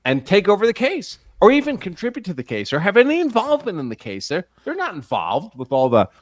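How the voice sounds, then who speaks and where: A man speaking as a trial commentator on a casual live panel, carefully explaining his opinions with animated emphasis when making a point. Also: sits high, fast talker, dry recording.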